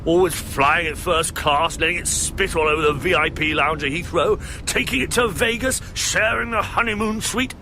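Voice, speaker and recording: A man's voice: a little high, moderate pace, few pauses, lightly acted. A man playing a cynical, insinuating gossip in a radio comedy monologue. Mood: insinuating, amused. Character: nosy, cynical, muttering English gossip, male. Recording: faint background noise.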